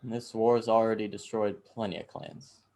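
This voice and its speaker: Man reading as low-voiced, jaded feudal lord. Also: little pitch movement, a little fast, a little mellow, close to an everyday voice. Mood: dry, composed. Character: jaded feudal Japanese lord fond of fight nights. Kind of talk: amateur dramatic script reading.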